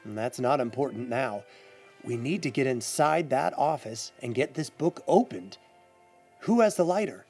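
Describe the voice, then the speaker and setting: An actor playing a brisk, guarded, confident adventurer and heir in an audio adventure drama, with theatrical, expository delivery. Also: male voice, medium pitch, expressive, a little mellow, husky, soft background music. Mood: earnest, explanatory.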